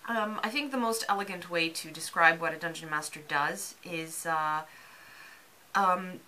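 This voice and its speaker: A woman from Serbia with a bright, forceful tone and a fairly low pitch for a woman. She explains her ideas thoughtfully in an interview. She searches for words and often fills pauses with ums. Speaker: interviewee, actor and bartender.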